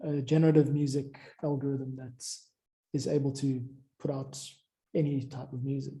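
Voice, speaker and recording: A man's voice: mid-range voice, little pitch movement, moderate pace, warm tone. A man in an informal work conversation, talking as a music creator.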